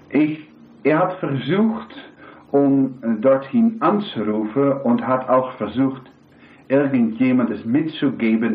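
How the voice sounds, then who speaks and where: A hoarse man preaching a sermon, rambling and putting questions to the congregation. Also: mid-range voice. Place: church hall with congregation. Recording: echoey, tinny lo-fi hall recording.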